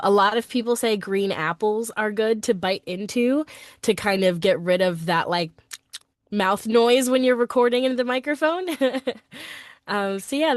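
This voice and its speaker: A woman's voice: medium pitch, dry recording. Chatty woman voice actor, dry sound. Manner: chatty, upbeat, many ums and likes. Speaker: voice actor guest. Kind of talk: casual podcast interview.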